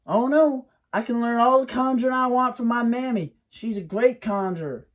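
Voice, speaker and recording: A man's voice: very high-pitched, moderate pace, voice pushed up. A man doing scared country boy Wiley. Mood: defiant.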